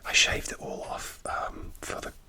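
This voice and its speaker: A man whispering a rambling, hesitant story as an ASMR creator, speaking right up close to the microphone with frequent restarts. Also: a little slow.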